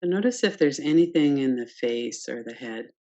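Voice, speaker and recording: A woman teaching guided meditation, speaking calmly and gently in short phrases with pauses between them. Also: very deep voice, lo-fi sound. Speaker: yoga nidra meditation teacher. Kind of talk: guided meditation, unscripted instruction.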